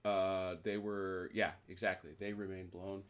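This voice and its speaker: Low-voiced man explaining game rules. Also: level tone, measured pace, crowd noise. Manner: chatty, explains rules with many restarts and "uh"s. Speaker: host teaching a tabletop wargame. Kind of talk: casual rules explanation over a video call.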